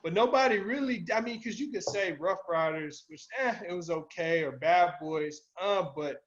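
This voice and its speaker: Higher-pitched man, slangy podcast talk. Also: normal speed. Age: older than the co-hosts. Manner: slangy, swearing. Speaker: podcast co-host. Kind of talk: casual album discussion. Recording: dull lo-fi audio.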